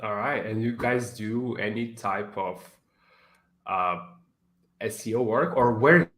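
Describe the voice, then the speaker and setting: A man's voice: lowish voice, slow pace, a bit bright. A man hosting a weekly livestream, friendly and modest, chatting casually over a video call. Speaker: livestream host. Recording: echoey room.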